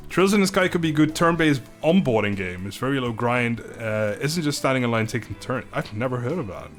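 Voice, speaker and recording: A man's voice: mid-range voice, animated, moderate pace, pauses often, soft background music. A young man from the Netherlands with a Dutch accent, chatting casually on a live stream, laughing often and stumbling over his words.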